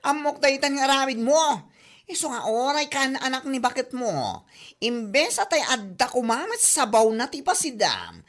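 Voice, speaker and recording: An actress playing a nosy, sassy, gossiping busybody in a comedy drama, with an Ilocano accent. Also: female voice, high voice, big pitch swings, moderate pace. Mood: scolding.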